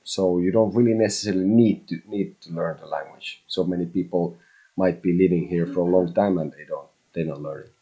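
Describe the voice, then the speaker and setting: Low, husky Finnish-accented man. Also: steady tone, brisk, warm tone, muffled recording. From Finland. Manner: loose and chatty, with restarts and mild swearing. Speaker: tarot reader hosting a live stream. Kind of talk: casual rambling monologue.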